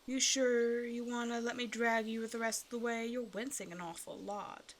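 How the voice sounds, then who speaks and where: A woman's voice: fairly high pitch, fairly even intonation, normal speed, close to an everyday voice. Smug, teasing snake woman, acted by a woman, noisy backdrop. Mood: mock-sweet menace. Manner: teasing, condescending, mock-sweet pet names. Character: hungry female naga, half snake, teasing, smug, playfully predatory. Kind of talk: ASMR roleplay audio drama, addressing the listener.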